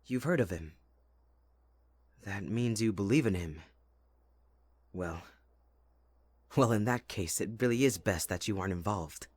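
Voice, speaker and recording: Husky, low-voiced winter spirit, acted by a man. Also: animated, measured pace, pauses often, darkish tone. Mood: uneasy, protective. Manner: teasing, dramatic, addressing the listener closely. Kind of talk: intimate ASMR audio roleplay acting.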